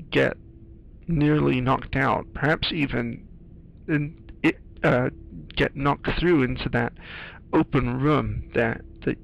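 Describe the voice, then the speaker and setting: A man giving casual playthrough commentary, explaining things in a halting, thoughtful way with game audio behind him. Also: medium pitch, gravelly, a bit bright, low-quality recording. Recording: faint noise, game sounds behind. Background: video game audio.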